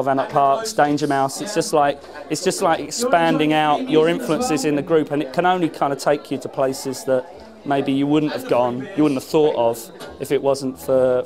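A man, a band musician, giving casual interview answers in an informal, rambling way. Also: medium pitch. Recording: clear music playing behind. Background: music at a live event.

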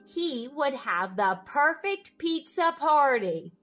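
Slow, high, animated woman storyteller. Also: faint music behind. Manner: sing-song refrains, questions put to young listeners. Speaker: read-aloud host. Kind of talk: expressive children's picture-book read-aloud with asides.

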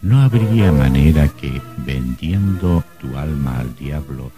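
A man narrating a suspenseful radio drama in a solemn, measured, theatrical way. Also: rumbling low, lively intonation, music in the background.

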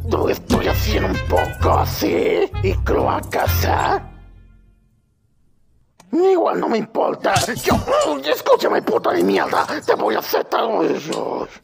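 A man's voice: mid-range voice, wildly swooping pitch, takes it slowly, vocal fry, music in the background, heavily acted. A man voicing a foul-mouthed, scornful demon imp hitman in a cartoon fandub, swearing and volatile. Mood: irritated, cursing. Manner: volatile, swearing, swooping into shouts.

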